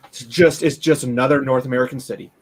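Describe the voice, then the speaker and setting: A man in his late thirties, speaking as a panelist in casual conversation, candid and halting with many fillers and restarts. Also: medium pitch, steady tone, slight background noise. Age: late 30s.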